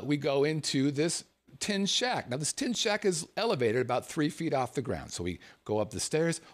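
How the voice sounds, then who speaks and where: A man from the United States hosts a show and tells a personal story in a loose, casual way. His voice sits at a middle pitch and swings widely up and down as he animates the tale. He chuckles through his words as he talks. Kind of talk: casual anecdote telling.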